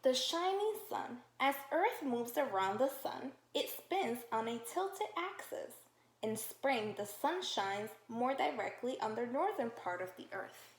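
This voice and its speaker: A woman teacher reading a picture book aloud to young children, slow and encouraging, with drawn-out questions to the class. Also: on the high side, very animated, slight background noise. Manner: slow, encouraging, exaggerated sing-song questioning. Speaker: kindergarten teacher. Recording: roomy echo.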